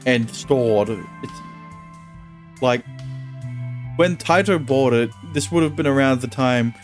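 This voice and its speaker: A male streamer who reads visual novel narration aloud in a steady tone and chats casually between lines. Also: mid-range voice, takes it slowly, faint music behind.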